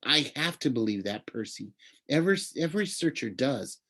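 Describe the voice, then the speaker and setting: A man's voice: medium pitch, expressive, normal speed, fairly bright tone, pitched above the performer's own voice. A man voicing an earnest, easily indignant young male satyr in a storybook reading, lecturing in a plaintive way. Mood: earnest, hopeful.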